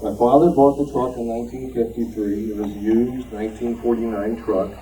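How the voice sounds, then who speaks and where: Guy w a relaxed dark voice doing casual show and tell, bit halting, distant mic. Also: medium pitch, a little hiss or hum. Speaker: club member at show and tell. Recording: distant room microphone.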